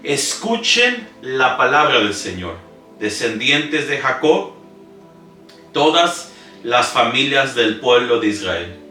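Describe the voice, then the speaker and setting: A man's voice: mid-range voice, sing-song, slow. Male pastor, earnest sermon delivery, recorded in a roomy space with music underneath. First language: English-dominant speaker preaching in Spanish. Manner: earnest preaching with scripture reading and slips. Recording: roomy, distant mic with soft music. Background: soft instrumental music.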